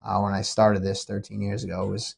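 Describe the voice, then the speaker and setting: A man, an MMA promoter, chatting casually on a podcast, sniffly from a cold and talking in an excitable, rambling way with lots of restarts. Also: on the low side, brisk, gravelly, slightly rough. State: sniffly, getting over a cold.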